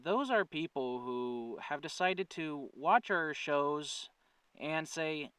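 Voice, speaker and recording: Male channel host, smooth clear voice at medium pitch, earnest and conversational, slightly off the mic. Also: takes it slowly, fairly bright tone, dry recording, far from the mic. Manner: earnest, conversational, thinking aloud with self-corrections. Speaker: channel creator and host, writer-producer. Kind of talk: casual update talk to viewers.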